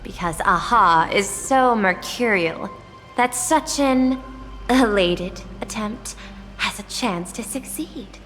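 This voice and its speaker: An actress playing a poised, calculating female executive in a video game, speaking formally and deliberately with courteous ambition. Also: mid-range voice, a bit bright. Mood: composed, calculating. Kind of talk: scripted video game dialogue. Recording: long hall reverb, music underneath.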